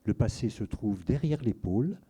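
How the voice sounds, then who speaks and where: Man reader, very dark husky voice, slow deliberate delivery. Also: on the low side, lively intonation. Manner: measured, deliberate reading. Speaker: reader of excerpts. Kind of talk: reading a literary passage aloud.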